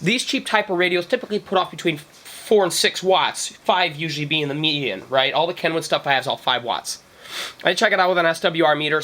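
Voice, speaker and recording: A man's voice: on the high side, pushed and bright. A man talking informally as a vlogger explaining gear, rambling along and often checking in with "right?" or "okay?". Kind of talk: informal explainer talk to camera.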